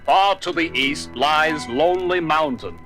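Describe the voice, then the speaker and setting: A man's voice: fairly high pitch, measured pace, music in the background, lightly acted. A man playing a proud, stern dwarf leader who speaks formally and with command, on a children's storybook record. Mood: grave, solemn.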